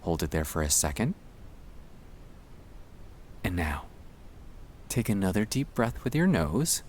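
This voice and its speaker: A man speaking softly and soothingly, often slipping toward a whisper, as he gently guides breathing in a sleep-aid ASMR style. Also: deep voice, very animated, unhurried, slight background noise. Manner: soft-spoken, soothing, often dropping into whispers. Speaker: ASMR creator guiding breathing exercises.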